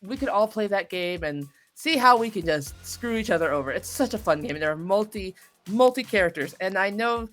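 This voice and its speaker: A woman chatting casually as an interview guest, excitable, effusive and expressive in the way she talks. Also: mid-range voice, brisk, soft background music. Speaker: voice actor guest being interviewed.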